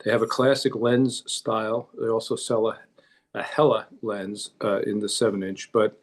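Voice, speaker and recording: A man's voice: lowish voice, level tone, moderate pace. A man, a club member on a conference call, casually explaining technical details and offering advice.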